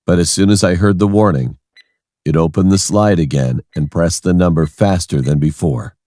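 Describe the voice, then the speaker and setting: A man's voice: low voice, moderate pace, mellow, no echo. A man reading a scripted first-person horror story as its narrator.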